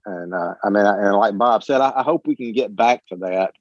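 A man talking casually in a group discussion, halting and often repeating his words as he goes. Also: fairly low pitch, no room sound, muffled recording. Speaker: book club member.

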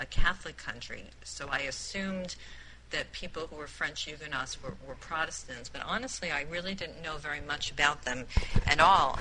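A woman giving an informal spoken book review in a group discussion, halting and repeating words as she makes emphatic points. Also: sits low, noisy background. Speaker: book club member who picked the book.

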